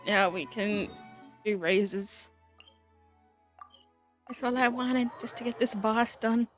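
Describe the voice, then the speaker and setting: A woman's voice: on the high side, takes it slowly, soft background music. A woman doing casual, playful gameplay commentary as a streamer, reacting freely to the game.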